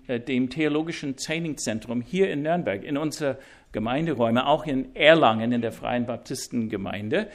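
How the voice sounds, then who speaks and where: Guest preacher, male, English-speaking accent, slow and gravelly, stumbling through fillers with a self-deprecating wit. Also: medium pitch. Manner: halting, many fillers and restarts. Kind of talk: sermon introduction. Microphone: wireless mic.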